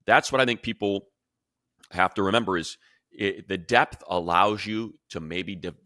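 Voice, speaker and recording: A man with a very low but bright, forceful voice talks sports analysis as a show host. He sounds emphatic and conversational, working through his reasoning aloud. The sound is close and dry. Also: no room sound.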